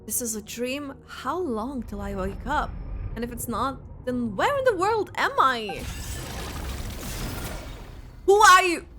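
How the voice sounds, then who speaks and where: A woman streamer reacting live to a game and reading its text aloud in an expressive, exclamatory way. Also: high voice, takes it slowly, long pauses. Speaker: gaming streamer. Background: game music.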